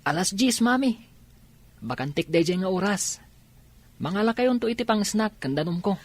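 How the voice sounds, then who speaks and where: Casting: woman as privileged young chess star, Filipino radio drama, husky and self-assured. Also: mid-range voice, animated, moderate pace, lightly acted. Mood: confident, breezy. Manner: confident, boastful.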